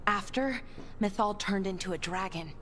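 An actress playing a scholarly young elf in a video game, explaining eagerly with halting afterthoughts. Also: female voice, mid-range voice, fairly bright tone, slight background noise, lightly acted. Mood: earnest explaining. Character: earnest, scholarly young female elf lore enthusiast. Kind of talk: game party dialogue.

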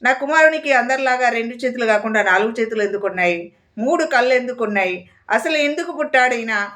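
A woman's voice: on the high side, expressive, fast talker, fairly bright tone. A woman voicing an anguished royal mother who pleads and laments over her son in a storytelling. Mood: lamenting.

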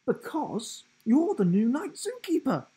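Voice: sits high, very animated, unhurried, dark tone, slightly rough, pitched above the performer's own voice, exaggerated voice. A storyteller voicing a goofy, joking male giraffe who teases cheerfully in a storybook reading. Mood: eager. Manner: cheerful, teasing, playful. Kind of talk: storybook character voice.

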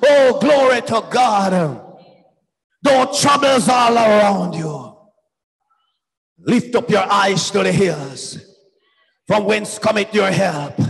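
A man preaches in a slow, shouted, half-sung cadence. His voice sits unusually high and carries a gravelly fry at its edges. It rings out in a long hall reverb over faint music. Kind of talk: fervent shouted sermon.

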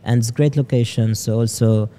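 A man in his thirties from Lebanon, a startup CEO answering interview questions in a halting way, often repeating words, on a boom-arm studio mic in an office lounge. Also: fairly even intonation, mellow, slight background noise. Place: office lounge podcast set.

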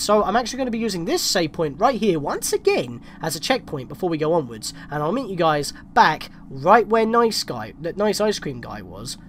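A woman's voice: lowish voice, slight background noise. A woman hosting a gameplay video with casual, conversational commentary. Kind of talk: casual let's play commentary. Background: game music.

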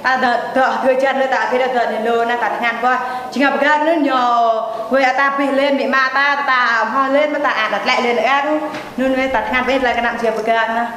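A woman's voice: high voice, steady tone, fairly quick, a bit bright. A young woman playing a schoolteacher giving a lesson in an educational film. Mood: instructive. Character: young female schoolteacher addressing her class. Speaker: teacher. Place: indoor school classroom. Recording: very echoey classroom, some background noise.

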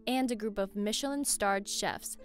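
A woman reading a scripted explainer as narrator, in a measured way. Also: mid-range voice. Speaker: narrator and presenter. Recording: music bed under voice. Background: music.

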